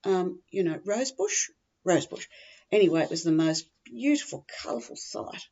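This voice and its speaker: Low-voiced, gossipy Australian woman acting a scatterbrained chatterbox. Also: lively intonation, moderate pace, vocal fry, darkish tone, muffled recording. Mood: chatty, blunt. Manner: rambling, gossipy, blithely blunt. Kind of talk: humorous monologue, performed reading.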